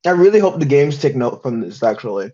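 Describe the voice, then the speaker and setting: A man's voice: mid-range voice, very animated, a little fast, dark tone, slightly rough. A man in casual podcast chat with an emphatic, slangy delivery and free swearing, on a dull lo-fi microphone. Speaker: podcast panelist discussing films.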